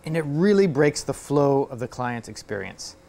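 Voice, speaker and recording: A man in his forties presenting as a host to camera, explaining in a measured, scripted way, recorded on a lapel mic. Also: mid-range voice, lively intonation, creaky, darkish tone. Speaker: host of an electronics build channel. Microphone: lapel microphone.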